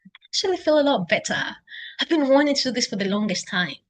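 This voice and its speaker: A woman's voice: high voice, lively intonation, quick pace. Kenyan-accented woman, chatty video diarist. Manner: chatty, thinking aloud, self-encouraging. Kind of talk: casual unscripted self-reflective video diary. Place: indoors at home, by a couch. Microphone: laptop.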